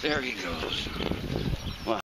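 A man filming himself fishing from a riverbank and talking casually about his catch, recorded on a camera mic outdoors with loud background noise. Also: on the low side, takes it slowly. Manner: excited, exclaiming over a big fish. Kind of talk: casual fishing vlog talk. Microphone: camera mic from a distance. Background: outdoor ambience, birds.

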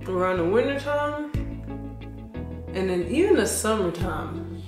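Slow-talking young woman vlogging at home. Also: medium pitch, over music. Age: young adult. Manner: talks gently to her toddler, chatty and relaxed to camera. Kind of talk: casual vlog chat. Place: home kitchen and bathroom, indoors. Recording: roomy home echo.

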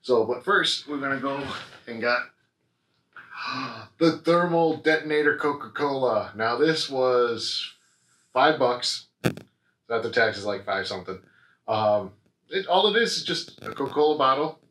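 A man's voice: mid-range voice, lively intonation, measured pace, fairly bright tone. A young American man chatting casually as a vlogger, explaining things in a rambling way. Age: young adult. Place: small living room, on a couch. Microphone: camera mic a few feet away. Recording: roomy echo, noisy camera audio.

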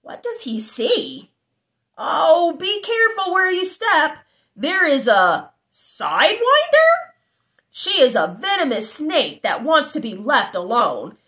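A woman reads a children's picture book aloud at home, her voice high and bright. She takes her time, swooping up and down with lots of expression, and speaks straight to young listeners with little asides. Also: slow. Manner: animated, exclamatory, child-directed with asides. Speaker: storybook narrator. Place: living room, indoors.

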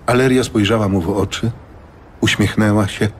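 A man narrating a scripted fantasy audiobook with measured, dramatic storytelling. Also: lowish voice, fairly even intonation, slight background noise. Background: music.

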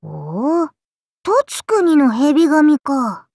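High, imperious little demon-god child. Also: female voice, big pitch swings, extremely slow, darkish tone, no room sound, studio quality, heavily acted. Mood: amused, intrigued. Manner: haughty, archaic lordly speech, indulgent teasing. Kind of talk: anime game character voice lines.

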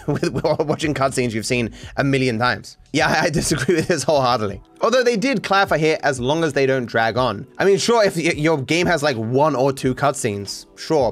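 Opinionated man ranting over faint music. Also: on the high side. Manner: opinionated, rambling, swears freely. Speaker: speedrunning streamer. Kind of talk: casual commentary reading viewer takes. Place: home streaming room, indoors.

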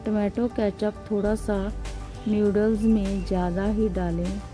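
A woman's voice: mid-range voice, fairly even intonation, measured pace, music in the background. A woman hosting a cooking channel, giving a step-by-step recipe voice-over in short, instructive phrases.

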